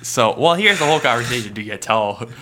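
A man's voice: mid-range voice, lively intonation, a little fast, sharp tone. A man co-hosting a comedy podcast, setting up role-play bits in a playful, casual way. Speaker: podcast co-host.

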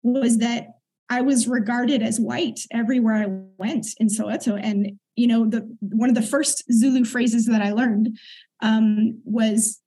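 A middle-aged woman, a podcast guest, earnestly and reflectively recounting her experiences and clearing her throat now and then. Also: on the high side, fairly even intonation, measured pace, mellow, rough recording. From United States. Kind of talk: conversational interview storytelling.

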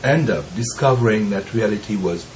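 A man who is recovering from bronchitis, so his voice sounds deeper than usual, gives a slow, reflective teaching talk with frequent pauses and the occasional soft laugh. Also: expressive, slight background noise, low-quality recording. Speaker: Zen teacher.

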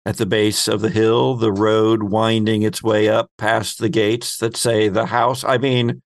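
Slow, low-pitched man narrating a game. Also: flat delivery, gravelly, no room sound. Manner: deliberate, scene-painting, with pauses mid-sentence. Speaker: game master and host. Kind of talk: improvised tabletop game narration.

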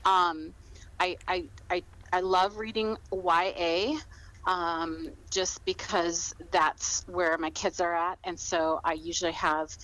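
A woman's voice: fairly low pitch, steady tone, slow, a bit bright, rough recording. A middle-aged woman chatting casually as an interview guest, often repeating her words as she goes. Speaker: podcast guest, author.

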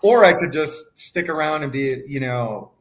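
A man's voice: fairly high pitch, expressive, unhurried, low-quality recording. Rambling middle-aged man from Hawaii. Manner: rambling, many fillers and restarts. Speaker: guest. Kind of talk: casual interview conversation.

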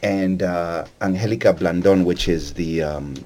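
A man's voice: sits low, a little slow, a crowd in the background. A man with a Colombian accent, a guest on a casual podcast, telling meandering stories with crude humor.

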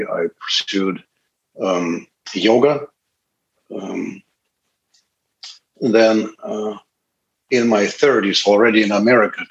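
Deep-voiced man in his fifties. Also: dull audio, slight background noise. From Russia. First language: Russian. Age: 50s. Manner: candid, halting, pauses often. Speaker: speaker at a recovery meeting. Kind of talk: personal recovery testimony.

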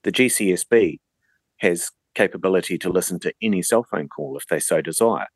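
A man, explaining things as an interview guest in a measured, deliberate way. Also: low voice, expressive, no echo.

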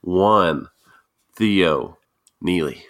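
A man's voice: low voice, very slow, a bit bright. A man co-hosting a casual podcast, joking and laughing often. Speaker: podcast co-host.

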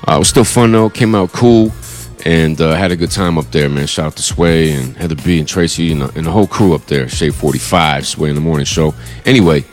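A male radio DJ and co-host, explaining plans in a relaxed, rambling way. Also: very deep voice, brisk, soft background music.

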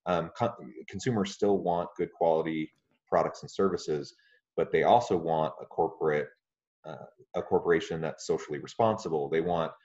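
Deep-voiced male podcast host. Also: fairly even intonation, a little mellow, muffled recording. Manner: friendly, measured, with frequent fillers. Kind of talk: interview podcast.

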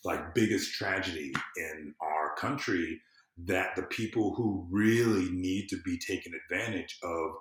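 An American man speaking as a podcast guest in casual conversation, earnest and emphatic, often halting and repeating words. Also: low voice, fairly bright tone. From southern United States. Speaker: podcast guest, civic empowerment consultant. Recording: roomy, echoey sound.

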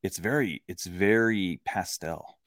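A man's voice: sits low, slow pace, fairly bright tone, no echo, studio quality. A man in his thirties co-hosting a podcast, chatting casually, saying "dude" a lot and acting out quotes. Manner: laid-back, says "dude" a lot, acts out quotes. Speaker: podcast co-host. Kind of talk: casual chat.

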